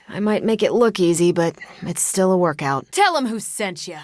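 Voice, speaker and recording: An American actress playing a confident, wry superhero and former military pilot in a video game, with a casual, teasing way of talking. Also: female voice, on the low side, no room sound, clean studio sound, close to an everyday voice. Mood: battle-ready, forceful. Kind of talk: video game character dialogue.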